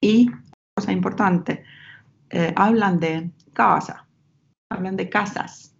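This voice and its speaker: Finnish woman researcher, gravelly voice. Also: mid-range voice, takes it slowly, a little hiss or hum. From Finland, Ostrobothnia. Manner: thoughtful, hesitant with restarts. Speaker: researcher guest. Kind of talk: academic interview. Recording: slightly lo-fi.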